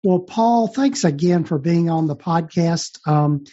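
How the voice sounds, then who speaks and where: A man's voice: on the high side, a little slow. Man, Southern US accent, podcast host, loose and rambling, slightly dull sound. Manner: relaxed, filler-heavy with frequent restarts. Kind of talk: podcast outro recap.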